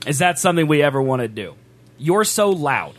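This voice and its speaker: Man, podcast co-host, fairly high voice, very lively pitch, teasing, casual banter. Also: brisk. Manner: joking, teasing, animated.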